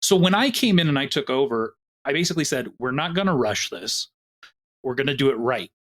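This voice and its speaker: A male producer talks candidly in a podcast interview. He often stumbles and restarts, then presses on. His pitch is mid for a man. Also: sing-song, a little fast. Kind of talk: casual interview talk.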